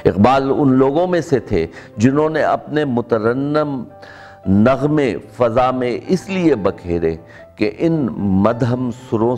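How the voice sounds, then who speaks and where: Slow, low-voiced middle-aged Pakistani man. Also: darkish tone. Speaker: presenter. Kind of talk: introduction and formal recitation of a written speech.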